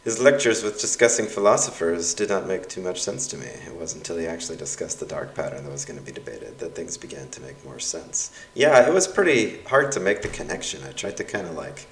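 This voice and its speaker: A man's voice: sits low, expressive, fairly quick, few pauses. A young adult man, a university instructor whose first language is Russian, reads aloud his course evaluations in a casual, wry, self-mocking way with lots of fillers. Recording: roomy sound from a distance.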